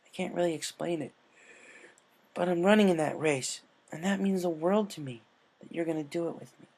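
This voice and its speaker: Male reader as brash young pegasus mare, cartoon, headstrong, athletic, high voice. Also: a little fast, gravelly, darkish tone. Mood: earnest.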